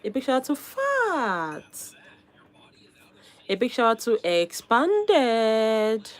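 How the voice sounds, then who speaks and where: A woman hosting a casual live stream, slightly hoarse from a cold, upbeat and calling out viewers' names with frequent laughs. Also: fairly high pitch, huge pitch swings, extremely slow.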